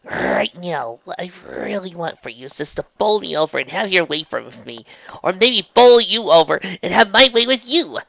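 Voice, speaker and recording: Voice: mid-range voice, wildly swooping pitch, gravelly, bright, forceful tone, no echo, dull audio, voice pushed up, full character voice. A narrator voicing an emotional young female unicorn in a storybook, stammering, apologetic and unsure of herself. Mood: hesitant, yearning. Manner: stammering, halting.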